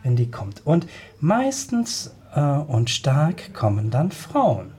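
A man's voice: a little high, wildly swooping pitch, slow, a little mellow. A man hosting a radio studio interview, talking hesitantly with many fillers. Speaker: radio host.

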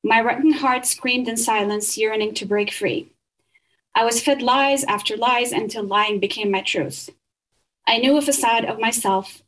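A woman speaks in a somewhat high voice. She is earnest and measured, reading poetry and then explaining her research, stumbling and restarting now and then. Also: fairly bright tone, low-quality recording. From Saudi Arabia. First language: Arabic.

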